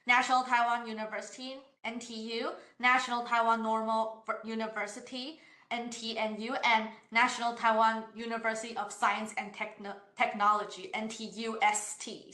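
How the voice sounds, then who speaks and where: A woman's voice: a little high, sharp tone. Woman admissions officer in echoey room. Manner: hesitant, frequent "uh" fillers and restarts; polite, explanatory. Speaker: university admissions staff answering questions. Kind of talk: online Q&A, reading questions aloud then answering. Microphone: online session over computer mic. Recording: roomy echo.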